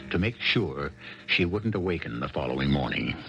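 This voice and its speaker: A man hosting a radio drama, narrating in a measured, wry storyteller manner. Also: very deep voice, lively intonation, vocal fry. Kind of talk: scripted narration. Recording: dull lo-fi 1970s radio broadcast with music.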